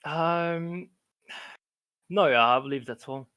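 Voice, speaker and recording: A man's voice: a little high, slow, fairly bright tone, clear, resonant tone, dry recording, studio quality. A man from Barbados chatting casually as a class participant, speaking in a hesitant way and repeating himself. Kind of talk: casual chat.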